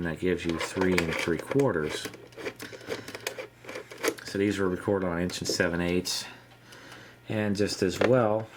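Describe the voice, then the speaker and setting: A middle-aged man giving a casual, unscripted show-and-tell in a halting, matter-of-fact way. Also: deep voice, noisy background. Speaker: vintage electronics hobbyist presenter.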